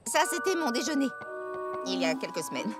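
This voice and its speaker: Eccentric old cartoon pony granny, wildly swooping. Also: female voice, on the high side, very animated, brisk. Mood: folksy, eager. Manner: folksy, exclamatory, scatterbrained. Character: eccentric, cluttered old female cartoon pony, kindly genealogist. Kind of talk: animated cartoon dub. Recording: loud music behind.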